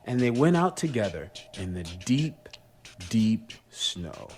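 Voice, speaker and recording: A woman pre-K teacher giving an expressive read-aloud lesson, drawing out key words and putting guiding questions to young listeners. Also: very deep voice, slight background noise. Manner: drawn-out emphasis on key words.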